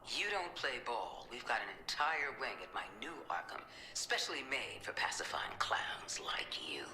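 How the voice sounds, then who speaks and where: An actress playing a cold, commanding middle-aged agency director in a video game, with an American accent and a threatening manner. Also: female voice, rumbling low, big pitch swings, moderate pace, bright, forceful tone, roomy sound, in a whisper, full character voice. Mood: cold threat. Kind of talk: video game cutscene acting.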